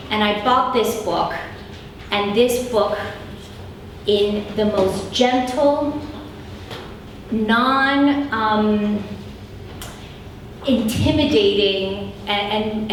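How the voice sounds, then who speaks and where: A woman hosting a live event, introducing speakers in a halting way with many fillers. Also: mid-range voice, a little hiss or hum. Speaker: event host. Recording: roomy hall mic from a distance.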